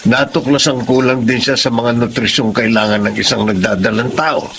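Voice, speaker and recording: An actor playing a middle-aged, protective, kindhearted uncle with urgent, concerned radio melodrama delivery. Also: male voice, medium pitch, steady tone, moderate pace, fairly bright tone, slight background noise, lightly acted. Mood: grave, reproachful. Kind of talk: Tagalog radio melodrama acting.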